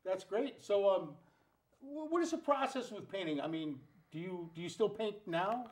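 A middle-aged man with a noticeably high voice hosts an interview in a small TV studio on a lapel mic. He talks casually and keeps affirming his guest with short encouraging remarks. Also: lively intonation. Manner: friendly, encouraging, quick to affirm. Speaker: TV talk show host.

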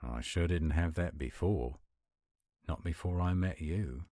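A man voicing an affectionate, gruff-but-sweet werewolf boyfriend in a tender, intimate romantic audio roleplay. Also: deep voice, measured pace, dark tone, no room sound, studio quality. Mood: hopeful, affectionate.

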